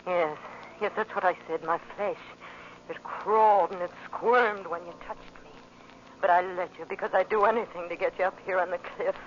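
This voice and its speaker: An actress playing a desperate, vengeful woman in a 1940s radio drama, with a halting, intense, melodramatic delivery. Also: fairly low pitch, fairly even intonation, slight background noise, natural voice. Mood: bitter, despairing. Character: desperate, vengeful woman luring a man to die. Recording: muffled old radio recording with music.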